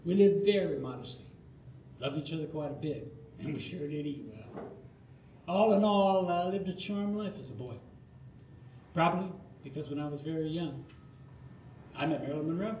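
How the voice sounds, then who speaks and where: An older American man performing a nostalgic autobiographical monologue live, theatrical, with dramatic pauses. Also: on the high side, lively intonation, a little mellow. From Florida, United States. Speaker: storyteller. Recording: roomy live recording with faint noise.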